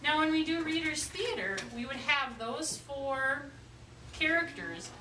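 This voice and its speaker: Female primary teacher, patient question-and-answer delivery, raised pitch, roomy distant classroom sound. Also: lively intonation, a little slow. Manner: patient, repeats pupils' answers back. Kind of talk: classroom lesson, questioning young children. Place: classroom with children. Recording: echoey classroom, distant mic, noisy.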